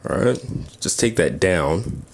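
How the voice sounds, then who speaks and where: Male reviewer, low voice, informal hands-on demonstration, some background noise. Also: lively intonation, takes it slowly. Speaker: toy reviewer. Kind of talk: casual hands-on product demonstration. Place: indoors at a tabletop display. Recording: clear background noise, handling clicks.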